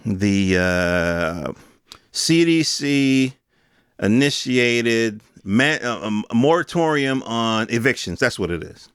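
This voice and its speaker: A man's voice: mid-range voice, fairly bright tone, clear, resonant tone, no echo, studio quality. An American man in his fifties giving a casual, opinionated solo monologue in emphatic, slangy, conversational speech. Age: 50s. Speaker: podcast host.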